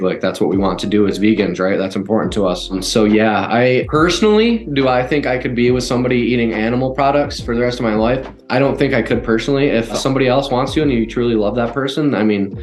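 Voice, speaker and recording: A man's voice: lowish voice, roomy sound. A man speaking as an interview guest in a casual, rambling way, full of "like" fillers. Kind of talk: casual conversation.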